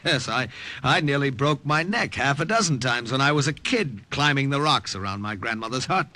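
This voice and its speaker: An actor playing an exhausted, determined American army captain in an old-time radio drama, with halting, repeated phrases. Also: male voice, medium pitch, lively intonation, bright, forceful tone. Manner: halting, repeats words in fragments. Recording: old radio recording, faint music behind.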